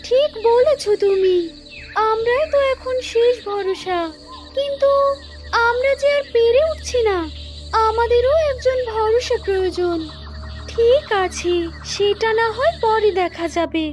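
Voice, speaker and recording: An extremely high, tiny voice for a winged fairy guardian in a cartoon fairy tale. The creature is brave, protective and dramatically earnest, speaking over clear background music. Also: female voice, slow pace. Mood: worried, resolute. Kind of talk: animated fairy-tale dialogue.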